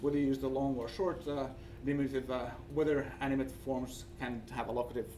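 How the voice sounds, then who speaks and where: Man, Finnish accent, academic presenter, halting delivery, middling pitch, distant mic, faint background noise. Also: level tone, dark tone. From Finland. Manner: halting, searching for words. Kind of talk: conference presentation with slides.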